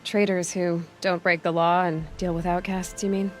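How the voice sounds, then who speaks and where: A woman's voice: lowish voice, flat delivery, normal speed, soft background music, lightly acted. A woman playing a headstrong young outcast huntress in a video game, speaking directly with dry, questioning wit. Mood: wry. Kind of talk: video game cutscene acting.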